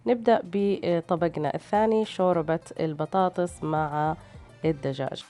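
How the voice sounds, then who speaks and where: A woman's voice: fairly low pitch, moderate pace, mellow. A woman with a tired voice, narrating recipes as a cooking host in a casual, hesitant way. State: tired, strained voice. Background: background music.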